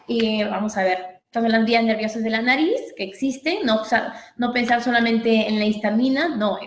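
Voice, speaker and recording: Female doctor, teaching tone, fairly high voice, frequent "ok?" check-ins, roomy reverb. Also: dull audio. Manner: didactic, repeats points, checks in with "¿ok?". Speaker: physician lecturer. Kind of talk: medical training lecture. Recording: echoey room.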